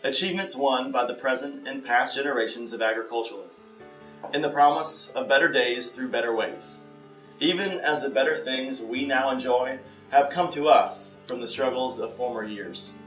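Young male reader, formal recitation, mid pitch, echoey room, faint guitar bed. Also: fairly even intonation, moderate pace. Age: young adult. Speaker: national youth organization officer. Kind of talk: recited creed, formal reading. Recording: roomy sound. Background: soft guitar music.

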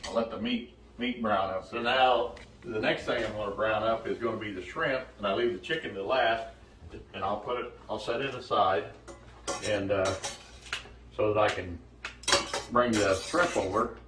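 A man in his sixties giving a casual, halting cooking demonstration as host, recorded from a distance in a home kitchen. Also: lowish voice, steady tone, a bit bright. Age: 60s. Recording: echoey kitchen, distant mic, faint noise.

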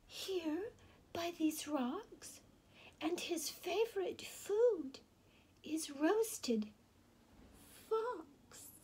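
A woman's voice: very high-pitched, animated, very slow, long pauses, darkish tone, voice pushed up, heavily acted. A woman voicing a small, clever mouse who answers politely in a whisper. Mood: sly. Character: timid clever little mouse.

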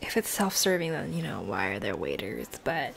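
A young adult woman doing a casual ASMR role play, speaking softly and often slipping into a whisper with mouth sounds, close to a desktop mic. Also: very deep voice, sing-song, moderate pace, vocal fry. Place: bedroom, indoors. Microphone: close desktop condenser mic. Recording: close mic with noise, clicks.

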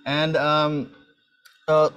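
Halting man giving an informal talk. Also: on the high side, completely flat delivery, a bit bright, clean tone, lo-fi sound. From Malaysia. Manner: hesitant, halting, often repeats words. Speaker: sound design instructor and mentor. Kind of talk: informal introductory talk at an online open house. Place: indoor room, on a video call.

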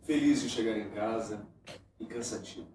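A man's voice: fairly low pitch, moderate pace, roomy sound, lightly acted. A man playing a weary, amorous husband in an improvised radio soap parody, speaking in terse romantic lines from off camera. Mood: tender, weary. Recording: distant off-camera voice with loud noise.

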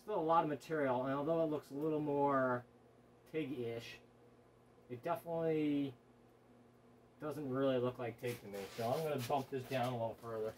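A man's voice: mid-range voice, steady tone, normal speed, slight background noise, rough recording. A man talking casually as he welds in his home garage, rambling and correcting himself often. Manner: rambling, self-correcting, offhand. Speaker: hobby welder, channel host. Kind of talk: casual workshop talk.

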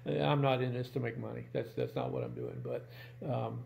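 A plain-spoken man in his sixties talking casually and haltingly to camera in a room at home, as host of his channel. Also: medium pitch, steady tone, creaky, a little mellow, slight background noise. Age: 60s.

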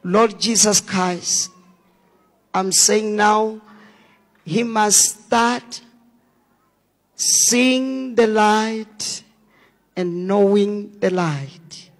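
A male pastor questioning a young man and praying over him in slow, commanding words during a church service. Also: high-pitched. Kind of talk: deliverance prayer at a church service. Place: church hall with an audience. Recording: long hall reverb.

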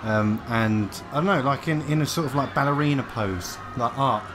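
A man's voice: mid-range voice, moderate pace. A man chatting casually as a player in a tabletop role-playing game, on a noisy recording. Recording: noticeably noisy home recording.

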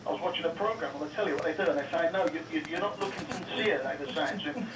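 Guy ringing a radio show, indignant n wordy, bit high voice, far off n noisy. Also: racing. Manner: wordy, retells exchanges as reported dialogue. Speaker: radio phone-in caller. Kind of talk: anecdotal, indignant complaint. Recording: roomy radio playback, distant, noisy.

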